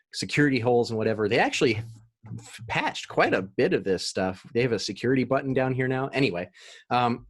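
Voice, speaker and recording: A man in his thirties presenting on marketing over a video call, talking loosely with many fillers and restarts. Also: mid-range voice. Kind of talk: casual presentation with Q&A.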